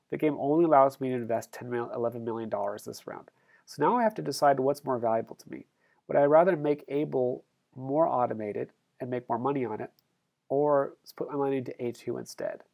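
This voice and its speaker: A man's voice: mid-range voice, gravelly, a little mellow. A man teaching a business simulation, talking step by step through a screen recording and correcting himself as he goes. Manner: explains step by step, self-corrects. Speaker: instructor. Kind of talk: screencast tutorial walkthrough.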